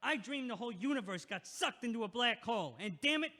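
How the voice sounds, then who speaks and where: A man's voice: very high-pitched, lively intonation, fairly quick, bright, forceful tone, full character voice. An actor playing a crude, irritable, sarcastic male neighbor in an audio play. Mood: irritated, mocking. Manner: brash, sarcastic, grumbling. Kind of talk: stage-like audio play acting. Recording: echoey hall with long reverb.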